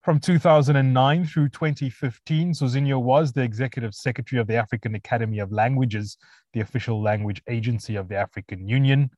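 A man hosting an interview, reading a prepared introduction and then asking questions with pauses. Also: mid-range voice, fairly even intonation, darkish tone, no room sound, lo-fi sound. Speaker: podcast host.

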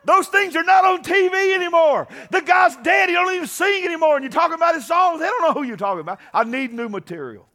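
A pastor preaching a sermon, building to impassioned shouting and calling out to the congregation. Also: male voice, extremely high pitch, expressive, fast talker, few pauses.

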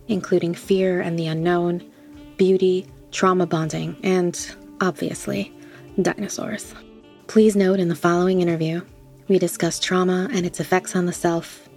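A woman's voice: fairly low pitch, a little mellow. A woman speaking as a podcast host and interviewer. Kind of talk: scripted podcast introduction, then casual interview chat.

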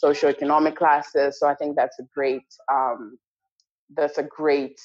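A woman with a low voice answers questions thoughtfully, often pausing to restart her sentences. The recording has a dull, slightly lo-fi quality. She comes from Malawi and talks in a relaxed conversational way. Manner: reflective, with frequent fillers and restarts. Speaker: interview guest. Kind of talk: casual interview conversation.

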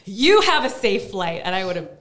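A woman's voice: medium pitch, big pitch swings, moderate pace, a bit bright. A woman hosting a podcast, in a casual chat where she teases and laughs often. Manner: playful, teasing, laughs often. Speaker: podcast host.

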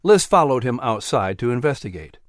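A man narrating a scripted story as an audiobook, in a measured, expressive storytelling manner. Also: mid-range voice, no room sound, clean studio sound.

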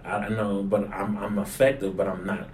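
A man with a low voice speaks as a pastor in relaxed conversation. He repeats his phrases to drive points home. The recording has a roomy echo and some background noise. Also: normal speed. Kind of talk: casual conversational discussion of a sermon.

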